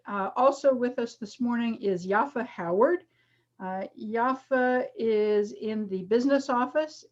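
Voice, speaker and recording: Woman, middle-aged, teacher, casual, explanatory, fillers, dull lo-fi sound. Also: fairly high pitch. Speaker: teacher presenting a reading demonstration. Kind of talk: casual online lecture. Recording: dull lo-fi video call audio.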